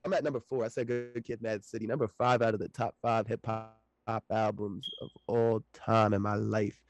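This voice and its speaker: A man's voice: fairly low pitch, level tone, moderate pace. A man in his late twenties, chatting loosely as a podcast guest, laughing often and swearing casually. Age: late 20s.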